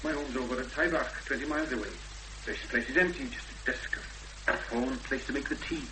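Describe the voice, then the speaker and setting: An actor playing a cautious, courteous rural policeman with a Welsh accent and a halting official delivery in a 1970s radio drama. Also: medium pitch, close to an everyday voice. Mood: polite, wry. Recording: old radio recording, loud hum and noise.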